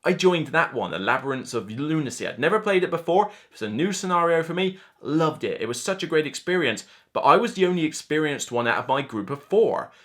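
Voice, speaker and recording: Man in his thirties, mid-pitched voice, enthusiastic, chatty, casual reviewer delivery. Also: fairly bright tone. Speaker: board game reviewer. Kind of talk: casual countdown review to camera. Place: indoor room lined with board game shelves.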